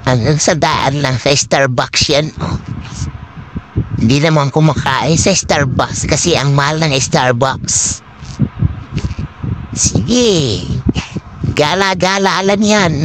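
A woman's voice: slow pace, long pauses. A middle-aged woman from the Philippines chatting casually on a walking vlog, repeating short phrases, her voice altered by a voice changer to sound man-like. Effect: voice changer giving a man-like pitch. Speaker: vlogger. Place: outdoors walking along city streets. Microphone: phone at arm's length. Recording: noisy street with passing vehicles.

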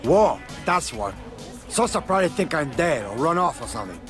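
A brash Cuban gangster kingpin for a video game. His voice sits a little high and swings widely, full of swagger and profanity, in a Cuban accent. Music plays behind him. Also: sing-song, normal speed, a bit bright. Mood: defiant, angry. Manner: cocky, profane, domineering. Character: brash, hot-tempered Cuban gangster kingpin, adult man. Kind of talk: video game cutscene acting.

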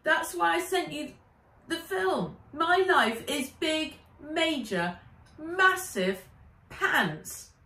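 A woman's voice: high voice, sing-song, drawn-out words, bright, forceful tone, pitched above the performer's own voice, heavily acted. A woman voicing a dramatic, exasperated young heiress girl. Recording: echoey room, voice a little far off.

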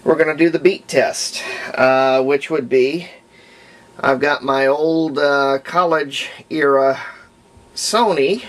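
An American man in his fifties narrates hands-on repair work unscripted, thinking aloud in a halting way as he goes. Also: fairly high pitch, fairly bright tone. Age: 50s. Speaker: hobbyist radio restorer. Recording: clear background noise.

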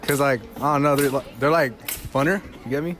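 A man's voice: on the high side, brisk, a bit bright. A teenage boy answering questions on campus, joking and dodging. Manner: evasive, joking. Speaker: interviewee. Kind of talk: casual chat. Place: outdoor school campus. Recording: crowd noise behind. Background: students chatting.

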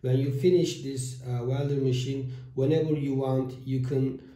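DIY tutorial host, man in his 30s, very dark mellow tone, halting phrasing, echoey room. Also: mid-range voice, fairly even intonation. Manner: halting non-native phrasing with fillers. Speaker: DIY electronics channel host. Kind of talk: step-by-step build tutorial. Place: small room with whiteboard, indoors.

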